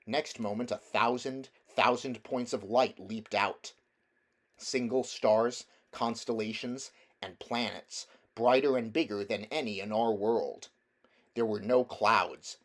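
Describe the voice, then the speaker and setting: A man reading a children's novel aloud as a narrator, in a measured, deliberate storytelling manner. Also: lowish voice, steady tone. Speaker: audiobook reader.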